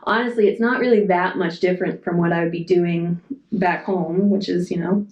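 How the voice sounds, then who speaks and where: A woman's voice: lowish voice, fairly even intonation, normal speed, a little mellow, roomy sound, lo-fi sound. A woman from Massachusetts telling her life story in a casual interview, rambling and matter-of-fact, with plenty of fillers. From Massachusetts, USA. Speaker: Antarctic program support worker.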